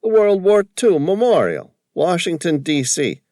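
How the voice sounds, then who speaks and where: A man's voice: sits high, very animated, measured pace, mellow, no echo, studio quality, pitched above the performer's own voice, exaggerated voice. A man voicing a chatty middle-aged male cab driver with a broad East Coast accent. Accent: broad East Coast American. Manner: chatty, folksy.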